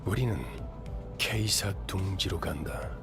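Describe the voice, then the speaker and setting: A man's voice: deep voice, very fast, lightly acted. An actor playing a composed, authoritative male guide in a game, speaking formally and curtly. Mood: calm, assured. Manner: curt, assured, formal. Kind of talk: game voice acting. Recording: game audio with music.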